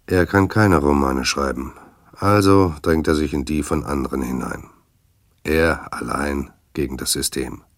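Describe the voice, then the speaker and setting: An actor as a wry, hard-boiled storyteller, giving measured literary narration in a radio drama. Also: male voice, rumbling low, no echo, studio quality. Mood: wry, terse.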